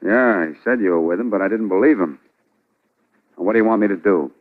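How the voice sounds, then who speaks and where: A man playing a blustering, stubborn police commissioner, gruff and accusatory, in 1940s radio drama style. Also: on the low side, brisk, natural voice. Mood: grudging. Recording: dull old radio recording.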